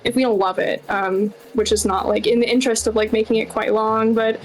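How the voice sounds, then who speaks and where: High-voiced woman chatting over music. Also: level tone, fairly quick, low-quality recording. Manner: chatty, informal, many "like" and "you know" asides. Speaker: game developer and writer, interview guest. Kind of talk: casual interview answers.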